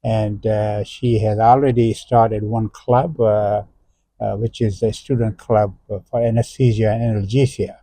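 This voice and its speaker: Older man, halting professorial host. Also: on the low side, fairly even intonation, mellow, dry recording. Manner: halting, many "uh" fillers, explanatory. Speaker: interview host, professor emeritus. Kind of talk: unscripted interview.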